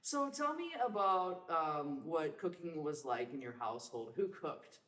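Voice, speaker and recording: A woman's voice: deep voice, expressive, fairly bright tone. Upbeat chatty woman podcast host, roomy sound. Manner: upbeat, chatty, quick asides. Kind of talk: casual interview chat. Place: Los Angeles. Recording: roomy echoey room.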